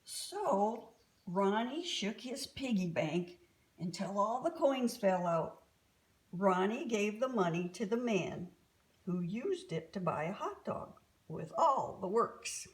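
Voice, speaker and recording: A woman reading a children's story aloud as an expressive storyteller. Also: mid-range voice, slow.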